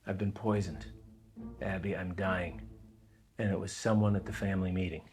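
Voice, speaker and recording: An actor playing a weary, dying middle-aged uncle in an interactive film, wry, urgent and informal. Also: male voice, low voice, steady tone, moderate pace, close to an everyday voice. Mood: grave. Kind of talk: naturalistic film dialogue. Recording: background music under the voice.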